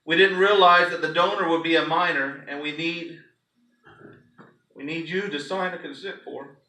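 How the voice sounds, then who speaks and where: A man's voice: fairly high pitch, fairly even intonation, normal speed, pushed and bright. A man, a pastor, giving a theatrical, emphatic dramatic reading of a story to a congregation. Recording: echoey room, distant microphone.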